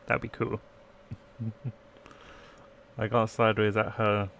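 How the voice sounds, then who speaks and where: A man's voice: on the low side, monotone, slow pace, fairly bright tone, a little hiss or hum. A man live-streaming a let's play, reading game text aloud and chatting in playful asides. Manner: playful asides, trying out accents.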